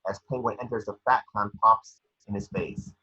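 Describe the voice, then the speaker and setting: A man reading scene descriptions as the narrator of a scripted table read, with a husky voice. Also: fairly low pitch, fairly even intonation, normal speed, pushed and bright, highs cut off. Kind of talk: scripted narration of screenplay action.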